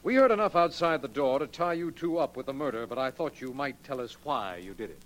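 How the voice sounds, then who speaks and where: An actor playing a businesslike district attorney, crisp and official, in 1940s radio style. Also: male voice, medium pitch, expressive, brisk, close to an everyday voice. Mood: stern. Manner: crisp, official, matter-of-fact. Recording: noisy old 1940s radio recording.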